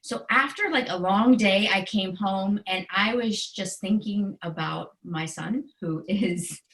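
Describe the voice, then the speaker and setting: A woman's voice: mid-range voice, unhurried, fairly bright tone, rough recording. A middle-aged American woman speaking in an earnest, emotionally open, conversational way as an interview guest telling personal stories. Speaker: guest author and special education educator.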